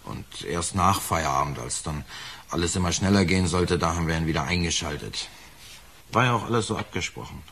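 A working man questioned by police in a radio crime play. His voice is low and husky. He talks colloquially and defensively, often repeating himself. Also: lively intonation, brisk, fairly bright tone, exaggerated voice. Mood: explaining, uneasy. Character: defensive working-class lift fitter under police questioning. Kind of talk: naturalistic German radio drama acting.

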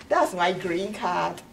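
A woman's voice: lowish voice, animated, drawn-out words, gravelly, a bit bright, full character voice. A middle-aged woman with a Ghanaian accent acting an eager, apologetic immigrant in an amateur comedy sketch, gushing and stumbling over her words. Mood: pleading, insistent. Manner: gushing, hesitant restarts. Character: excitable, eager, apologetic immigrant woman with a new green card. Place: indoor office waiting room and counter. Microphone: far camera. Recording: roomy, distant camera mic with hiss.

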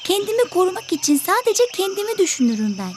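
A woman's voice: extremely high pitch, very animated, fast talker, a little hiss or hum. A woman voicing a selfish, grumpy bird in an educational cartoon, speaking in a haughty, brusque, scolding manner. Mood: smug, defensive.

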